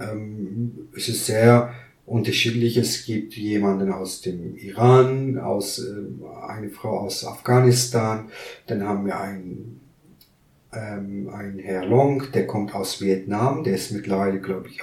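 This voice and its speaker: An earnest man in his thirties, speaking in a fairly low voice and pausing often to search for words. He sits some way back from the mic in a roomy, echoing space. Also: darkish tone. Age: 30s. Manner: earnest, halting with many fillers. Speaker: podcast guest, council member. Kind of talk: casual interview answers. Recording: roomy echo, mic at a distance.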